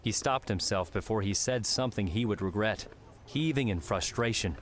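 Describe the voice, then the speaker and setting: A man's voice: on the low side, normal speed, a little hiss or hum. A man reading scripted fiction as an audiobook narrator.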